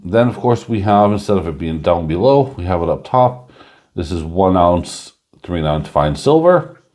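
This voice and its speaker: A man chatting casually through a coin review, rambling with lots of fillers and a slightly hoarse voice. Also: deep voice, a little mellow.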